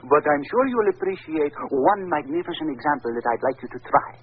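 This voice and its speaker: An actor playing a suave, villainous violin dealer in a 1940s radio drama, courtly and ingratiating, with hesitant pauses. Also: male voice, fairly high pitch, animated, slightly rough. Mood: smooth, ingratiating. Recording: old tinny band-limited radio recording.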